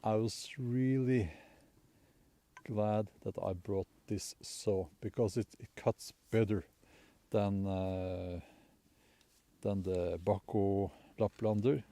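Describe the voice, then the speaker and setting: A man with a Norwegian accent chats to his camera outdoors in a snowy spruce forest, holding it on a tripod like a selfie stick. His voice is mellow and unhurried. He rambles in an easygoing way, with plenty of fillers and restarts. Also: on the low side, steady tone. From Norway. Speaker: bushcraft vlogger. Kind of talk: casual outdoor vlog talk. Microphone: camera on a tripod held as a selfie stick.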